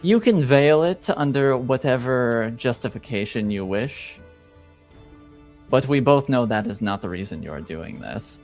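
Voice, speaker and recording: A man playing a haughty, shrewd duke in a tabletop game, formal, deliberate and skeptical. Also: mid-range voice, expressive, darkish tone, soft background music. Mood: stern, confronting.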